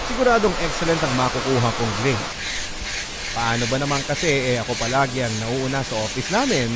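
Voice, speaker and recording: A man narrating a first-person love story as a dramatic reading of a letter. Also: mid-range voice.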